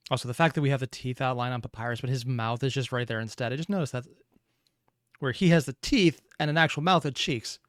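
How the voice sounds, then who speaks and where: Male reaction host: mid-pitched, casual, analytical rambler, recorded with very little room sound. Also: fast talker, fairly bright tone, dry recording, studio quality.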